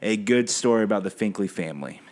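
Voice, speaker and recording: A man's voice: on the low side, lively intonation, fast talker, darkish tone. A young man speaking in a friendly, informal, encouraging way as a pastor hosting a family chat. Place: family kitchen.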